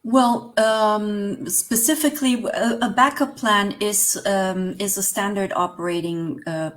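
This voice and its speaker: A woman's voice: medium pitch, steady tone, measured pace, fairly bright tone. Spanish-accented woman, hesitant and laughing. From Spain. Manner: hesitant, searching for words with many fillers, laughs often. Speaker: guest, online business manager. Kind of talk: casual interview chat.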